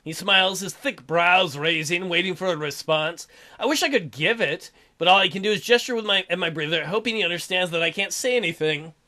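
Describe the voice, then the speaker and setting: Man, let's play host, high pitch, bright tone, story reading, irreverent sarcastic commentary. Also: brisk. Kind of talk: reading story text aloud with joking asides.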